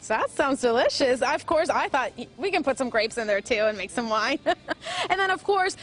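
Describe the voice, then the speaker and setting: High-voiced bubbly woman reporter. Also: lively intonation, normal speed, fairly bright tone, soft background music. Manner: bubbly, chatty. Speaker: field reporter. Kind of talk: live TV field report. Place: large indoor museum hall. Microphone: handheld mic.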